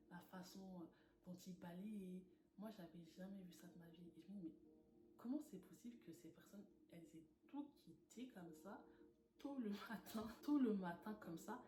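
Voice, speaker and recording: A young woman from France sharing her personal faith testimony in an earnest, animated way, laughing often. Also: lowish voice. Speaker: testimony speaker. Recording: echoey room with noise.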